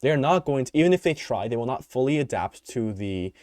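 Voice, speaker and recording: A man's voice: mid-range voice, lively intonation, fairly quick, a little mellow, no echo, clean studio sound. A young man hosting a solo podcast, giving his opinions in a rambling way full of fillers and restarts, his voice growing tired. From United States. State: voice tiring. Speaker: podcast host. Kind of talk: unscripted solo commentary.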